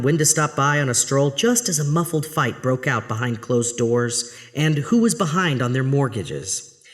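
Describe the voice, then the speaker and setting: A man's voice: medium pitch, natural voice. A man playing an anxious, guilt-ridden suburban husband in a horror audio drama. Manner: confessional narration breaking into frantic outbursts. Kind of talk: horror audio drama acting with first-person narration.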